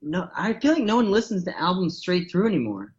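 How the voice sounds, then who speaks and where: A man's voice: sits high, big pitch swings, brisk, highs cut off, crowd noise. A man from North America, a guest comedian, chatting casually and rambling with many fillers. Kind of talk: casual podcast chat.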